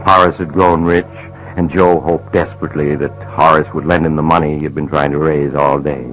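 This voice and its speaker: A man's voice: a bass voice, flat delivery, fairly quick, a bit bright, dull audio, soft background music. An actor as the ominous male storyteller, narrating a suspense tale for old-time radio. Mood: grave, storytelling.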